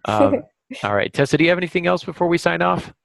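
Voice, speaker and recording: A man hosting a casual podcast discussion about a craft, pausing often as he picks his words. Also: high voice, expressive, gravelly, no room sound. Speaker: podcast host and novelist.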